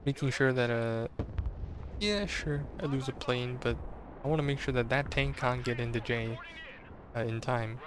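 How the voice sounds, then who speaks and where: A man's voice: medium pitch, normal speed, noisy background. A man casually commentating his own strategy game match, rambling with fillers, his voice strained and sore. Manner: rambling, many fillers and restarts. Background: game gunfire and explosions.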